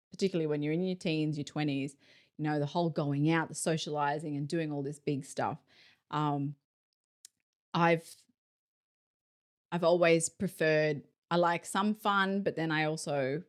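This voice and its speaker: A woman in her forties hosting a casual solo podcast, chatty and self-deprecating, laughing often between her thoughts. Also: on the low side. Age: 40s. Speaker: podcast host.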